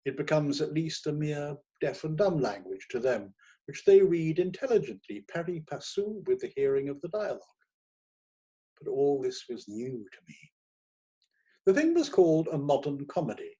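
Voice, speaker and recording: Theatrical man reading, slightly husky. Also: on the high side, low-quality recording. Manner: theatrical, expressive, savours drawn-out words. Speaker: audiobook narrator. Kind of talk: dramatic first-person short story reading.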